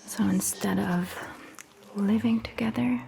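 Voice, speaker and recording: A caring vampire doctor played by a woman whispers slowly and soothingly in an ASMR roleplay. Her voice is breathy and mellow, with a crackly creak at the edges. She speaks gently, as if tending to a patient up close. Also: medium pitch, fairly even intonation. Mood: calm, soothing. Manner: slow, soothing, hushed, gentle. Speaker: ASMR performer.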